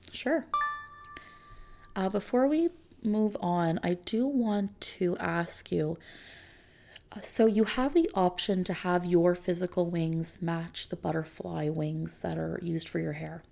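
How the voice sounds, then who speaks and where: A soft-spoken woman doing an ASMR roleplay as a consultant, explaining options to a client in an unhurried manner. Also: lowish voice, vocal fry.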